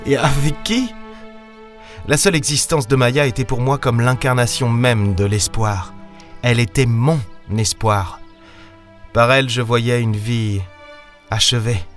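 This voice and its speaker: A man's voice: medium pitch, close to an everyday voice. A man playing a weary, lonely space captain, narrating an audio drama in brooding, hesitant short phrases. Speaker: narrator and lead character of an audio serial. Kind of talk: dramatic first-person audio fiction narration.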